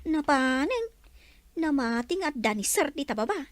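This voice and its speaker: A woman's voice: high-pitched, very animated, normal speed, a little hiss or hum. An actress playing an anxious young woman who works in a household, speaking hurriedly in a radio drama.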